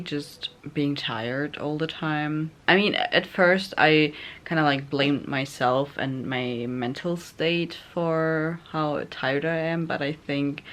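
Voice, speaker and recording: A young man giving a casual personal update to camera, speaking hesitantly with many filler words and a soft, low-key delivery. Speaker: vlogger.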